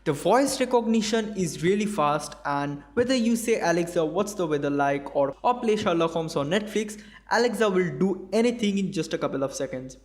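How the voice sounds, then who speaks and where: A young man with an Indian English accent, reading a scripted tech product review voice-over in a clear, measured, explanatory way. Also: high-pitched, lively intonation. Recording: faint background music.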